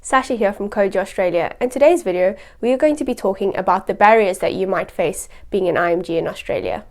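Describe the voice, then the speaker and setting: A woman doctor talking straight to camera with earnest, encouraging advice. Her voice sits at a middling pitch and comes across as somewhat distant from the mic. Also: fast. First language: English. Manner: earnest, encouraging, steady explaining. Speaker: doctor and channel host. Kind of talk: talk-to-camera advice video.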